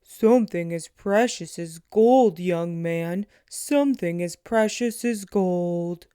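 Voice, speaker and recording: A woman plays a grave, kindly old storybook man with a big giant's voice. He speaks low and loud, with solemn weight on his words. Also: slow, clear, resonant tone, no echo, clean studio sound. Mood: solemn, weighty.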